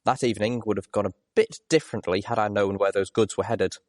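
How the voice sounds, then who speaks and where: A man's voice: on the low side, fairly even intonation, quick pace, a little mellow, dry recording, studio quality. A man reading first-person story narration as an audiobook narrator. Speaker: audiobook reader.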